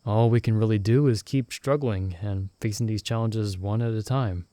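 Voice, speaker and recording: A young man voicing a loyal friend stranded in another world, who talks in a soft-spoken, gently teasing and reassuring way in an ASMR roleplay recorded close to the mic. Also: on the low side, moderate pace, no echo, close to an everyday voice. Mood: gentle, reassuring.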